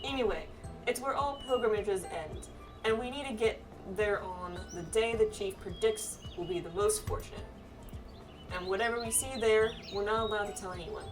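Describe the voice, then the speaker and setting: A woman voicing a serious, dutiful young pilgrim from a fantasy game, in an earnest, measured manner. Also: medium pitch, far from the mic, over music, close to an everyday voice. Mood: matter-of-fact.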